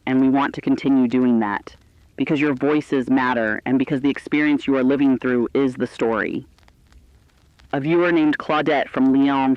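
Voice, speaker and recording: Guy acting as a compassionate male radio co-anchor, earnest and reassuring, mid pitch, dry but kinda dull audio. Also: no echo, lightly acted. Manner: earnest, consoling. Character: reassuring, compassionate co-anchor comforting frightened audience. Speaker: news co-anchor. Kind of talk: scripted broadcast address to listeners.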